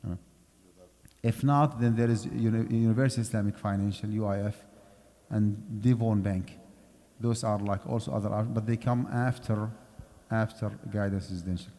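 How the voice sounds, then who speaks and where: A man's voice: lowish voice, fairly even intonation, normal speed, mellow. A man giving a conversational lecture on Islamic finance in a mosque, explaining step by step and checking in with his listeners. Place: mosque prayer hall. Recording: long hall reverb.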